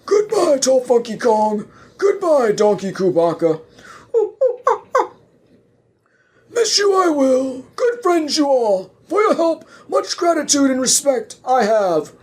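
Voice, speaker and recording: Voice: high voice, big pitch swings, slow pace, darkish tone, voice pushed up, full character voice. A performer voicing a little old wise alien master who speaks in inverted word order, in a parody audio play. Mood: fond farewell.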